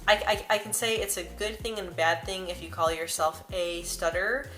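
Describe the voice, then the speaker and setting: A young woman talks casually to camera, often stuttering on sounds and whole words. Her voice is bright and sits slightly low. Soft music plays faintly behind her. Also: fairly even intonation, normal speed. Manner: earnest and personal. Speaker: vlogger. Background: soft background music.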